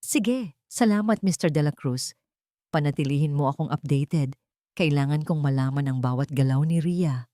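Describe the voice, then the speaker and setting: A woman's voice: low voice, normal speed, warm tone, no echo, clean studio sound, close to an everyday voice. A woman voicing a determined wronged wife and doctor, cool and commanding, in an audio drama. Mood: firm. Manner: firm, commanding.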